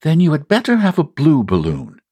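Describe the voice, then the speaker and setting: A man's voice: a little high, huge pitch swings, fairly quick, a little mellow, breathy edge, no room sound, pitched above the performer's own voice, heavily acted. A man voicing a small boy in a children's storybook, polite, curious and helpful, with simple questioning delivery.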